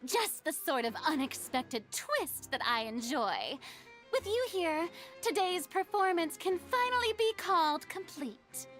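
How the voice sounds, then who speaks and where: A showy young goddess of justice from a video game. The voice is high and sweeps dramatically up and down with haughty grandeur, over background music. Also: female voice, big pitch swings, unhurried, fairly bright tone, full character voice. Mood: amused, grandiose. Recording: music under the voice.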